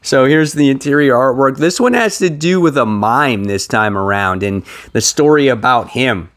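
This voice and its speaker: A man with an average-pitched voice speaks informally to his viewers. He sounds like an enthusiastic hobbyist host, giving relaxed show-and-tell commentary in a chatty, conversational way. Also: normal speed. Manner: enthusiastic, informal fan talk. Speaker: comic book review video host.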